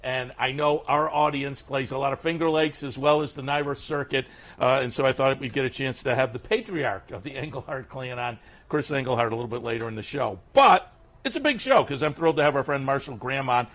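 A man hosting a horse racing talk show from the track, introducing guests in a relaxed, chatty way with frequent "uh"s. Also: mid-range voice, brisk. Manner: easygoing, filler-heavy intros. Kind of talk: casual radio-style interview. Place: racetrack backstretch, outdoors.

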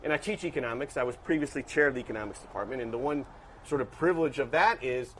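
Brief: man, 50, professor guest, mid-pitch, analytical storytelling, faint ambience behind. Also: quick pace, fairly bright tone, a little hiss or hum, poor audio. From Washington, D.C. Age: 50s. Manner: thoughtful, analytical, with many restarts. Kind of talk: casual interview storytelling.

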